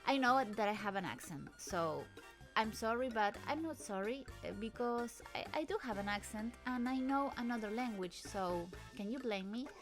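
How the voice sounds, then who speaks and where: A woman's voice: a little high, expressive, normal speed, faint music behind. A woman who is not a native English speaker, talking over video clips as the host of her channel in a hesitant, nervous way, often restarting her sentences, recorded at home in an apartment. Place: apartment in a busy town area with traffic outside.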